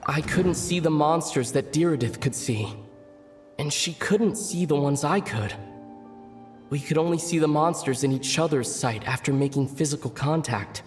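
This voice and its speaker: An actor playing an earnest young adventurer in a video game, reporting in a matter-of-fact way. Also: male voice, a little high, normal speed, close to an everyday voice. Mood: serious, factual. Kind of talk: scripted video game dialogue. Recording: soft game music behind.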